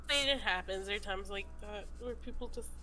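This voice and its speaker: A woman's voice: mid-range voice, fairly even intonation, a little fast, bright, forceful tone. A young woman co-hosts a laid-back podcast chat, her voice stuffy and congested from a flu. She talks in an easygoing, agreeable way, with faint noise behind her. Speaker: podcast co-host. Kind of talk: casual chat.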